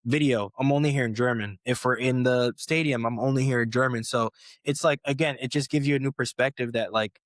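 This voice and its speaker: A man's voice: medium pitch, fairly even intonation, a little fast, no room sound, studio quality. A young American man, a professional footballer, chatting casually as a podcast guest, rambling with many restarts and fillers. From United States. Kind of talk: casual interview chat.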